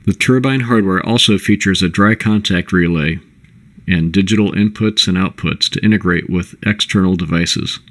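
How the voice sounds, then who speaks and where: Low-pitched man narrating a corporate presentation. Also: normal speed, fairly bright tone. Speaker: presenter of a company product overview.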